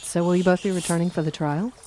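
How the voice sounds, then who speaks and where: Actress as a mellow, curious townswoman. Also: on the low side, steady tone, quick pace, slight background noise, natural voice. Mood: curious, concerned. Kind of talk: radio play acting.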